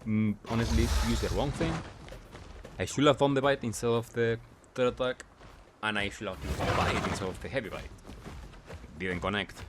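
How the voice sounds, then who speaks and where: A man's voice: fairly low pitch, normal speed, long pauses, noisy background. A man doing gameplay commentary as a video creator, explaining in short clipped phrases and often asking himself questions before answering them.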